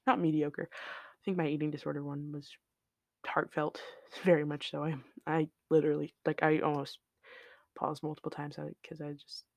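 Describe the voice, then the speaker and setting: A podcast host talking in an unscripted solo chat, with a flat, monotone delivery, rambling from one tangent to the next with lots of fillers, into a shelf-mounted mic. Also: mid-range voice, moderate pace, creaky, no echo. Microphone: shelf-mounted studio mic.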